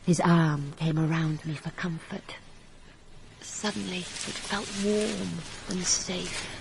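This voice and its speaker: A woman's voice: fairly low pitch, slow pace, gravelly, background noise. An actress playing a passionate young woman in love in a radio drama, intimate and emotional as she speaks and narrates her romance. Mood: reflective. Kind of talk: radio drama acting with first-person narration.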